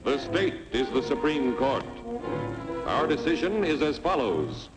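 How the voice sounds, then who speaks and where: A man's voice: medium pitch, very animated, a little slow, rough recording. A man playing a smug, cold state official who issues pompous decrees in a 1950s cartoon. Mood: coldly menacing. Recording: old film soundtrack, loud music behind.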